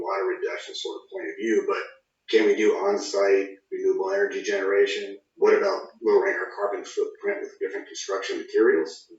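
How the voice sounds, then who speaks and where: A man giving informal technical explanations as an engineer being interviewed, often saying "you know" and "sort of.". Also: on the low side. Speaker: government project engineer interviewee. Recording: roomy, distant, dull sound.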